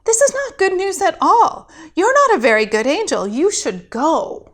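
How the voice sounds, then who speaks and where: A woman's voice: extremely high pitch, big pitch swings, normal speed, a bit bright, voice pushed up, full character voice. A woman voicing a frank, firm young woman in a storybook tale, startled but unafraid. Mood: shocked, firm.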